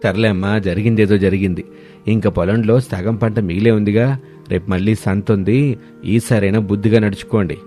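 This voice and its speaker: A man voicing a kindly, forgiving elderly father-in-law in a village story, gently admonishing and fatherly. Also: lowish voice, fairly even intonation, normal speed, close to an everyday voice. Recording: music behind the voice.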